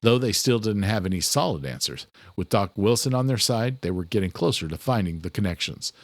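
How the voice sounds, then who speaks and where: Lively, low-voiced male audiobook narrator. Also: a little fast, no room sound, studio quality. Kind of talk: scripted dramatic narration of a horror thriller.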